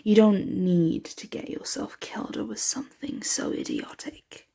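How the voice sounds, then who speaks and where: A woman voicing a possessive, protective, motherly vampire in an intimate ASMR roleplay, breathy and often dropping into a whisper. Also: medium pitch, dark tone. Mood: cold, commanding. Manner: slow, intimate, soothing address to a dear one.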